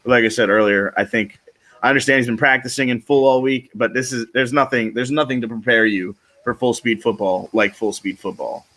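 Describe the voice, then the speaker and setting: A man's voice: mid-range voice, fairly even intonation, a little fast, a bit bright. A man chatting casually as a panelist on a sports show. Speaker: fantasy football show panelist.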